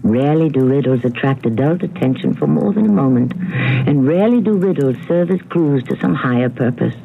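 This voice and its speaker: A man narrating a scripted 1970s radio mystery as host, measured, dramatic and suspenseful. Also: medium pitch, lively intonation, creaky, slight background noise. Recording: tinny, narrow vintage radio broadcast.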